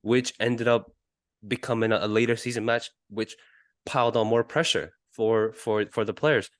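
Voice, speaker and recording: Low-voiced man, halting podcast chat. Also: fairly even intonation, no room sound, studio quality. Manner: hesitant, measured, halting as he reasons. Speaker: podcast co-host. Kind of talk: casual football chat.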